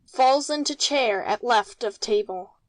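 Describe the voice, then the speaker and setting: A woman's voice: fairly high pitch, a little slow, dry recording, poor audio. A woman reading out a play's stage directions as scripted narration.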